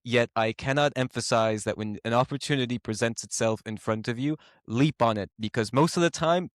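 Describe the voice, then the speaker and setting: A man's voice: mid-range voice, a little fast, no room sound, studio quality. A young Irish man with an adaptive, mostly American-sounding accent, chatting as a podcast guest in a rambling, self-deprecating, enthusiastic way. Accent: mixed, mostly American-sounding. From Ireland. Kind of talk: casual interview chat.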